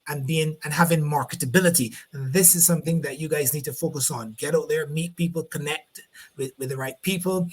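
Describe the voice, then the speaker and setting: A man's voice: on the high side, fairly even intonation, brisk, slightly rough. A man from Barbados with a Barbadian accent, hosting a live online interview over a video call and repeating words as he finds his phrasing. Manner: rambling, repeats words while finding phrasing. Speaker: host.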